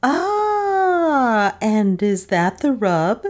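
Woman doing a sly bossy wife, coaxing, arch, high and lively. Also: a little slow. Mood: indignant. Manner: arch, coaxing, theatrical lilt. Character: bold scheming middle-aged wife, sly and domineering.

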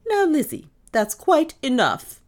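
A woman voicing a brisk, no-nonsense older mistress of the house, crisp, decisive and ironic, in an audiobook. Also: low voice, sing-song, exaggerated voice. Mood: imperious, sharp. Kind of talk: audiobook character dialogue.

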